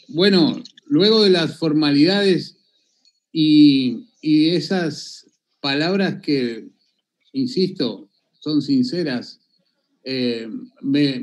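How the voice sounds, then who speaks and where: A man hosting a video call interview, jovial and teasing, asking questions using vos. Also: a little high. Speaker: interview host. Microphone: phone video call.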